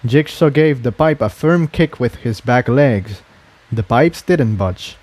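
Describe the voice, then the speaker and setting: A man's voice: medium pitch, animated, slow, darkish tone. A man reading a scripted adventure story aloud as narrator. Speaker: fan fiction narrator.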